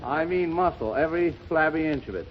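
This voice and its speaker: A man's voice: a little high, lively intonation, background noise. A blustering boastful fat man. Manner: blustering, quick punchlines. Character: boastful out-of-shape man, bragging and self-deprecating. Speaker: comic guest. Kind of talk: 1930s radio comedy sketch. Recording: muffled old radio broadcast with studio audience. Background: studio audience laughter.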